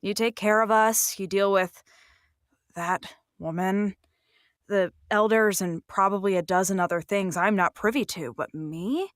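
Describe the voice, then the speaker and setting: A woman's voice: medium pitch, unhurried, dry recording, clean studio sound, close to an everyday voice. A woman playing a sassy, contented human attendant in an audio drama, casual and blunt in her talk. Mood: frank, sassy.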